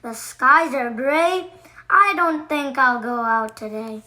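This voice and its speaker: A woman reading a children's storybook aloud in a deliberate, expressive way. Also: sits high. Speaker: children's book narrator. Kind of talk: read-aloud storytelling for kids.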